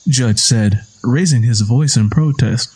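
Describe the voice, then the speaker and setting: A man's voice: medium pitch, measured pace, a little mellow, slightly rough. A man reading scripted fiction as the narrator of an audio story. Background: music.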